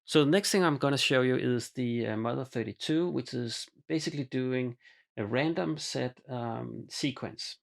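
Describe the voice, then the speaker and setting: A man walking through a synthesizer patch in a home studio, talking in a relaxed, halting way with frequent ums and uhs. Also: medium pitch, creaky. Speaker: synthesizer demonstrator. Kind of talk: hands-on patch explanation. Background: synthesizer music.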